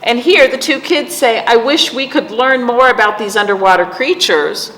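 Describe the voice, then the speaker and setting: A woman's voice: fairly high pitch, normal speed, fairly bright tone. A woman hosting storytime for young children, reading aloud expressively in a sing-song way and putting questions to her listeners. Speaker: children's librarian, storytime host. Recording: echoey reverberant room, clear background noise.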